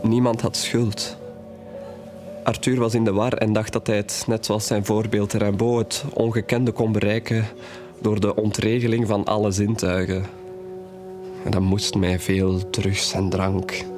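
Guy acting young man in an audio drama, lowish voice, sad and candid, music behind. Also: little pitch movement, unhurried, lightly acted. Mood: grieving, earnest. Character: grieving, candid young man confessing his troubled past.

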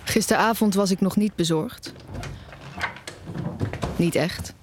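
A woman's voice: medium pitch, slow pace, a little hiss or hum, natural voice. An actress playing a stubbornly independent, nearly blind music student in her twenties in an audio drama. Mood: reflective, worried. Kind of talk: audio drama dialogue and inner narration.

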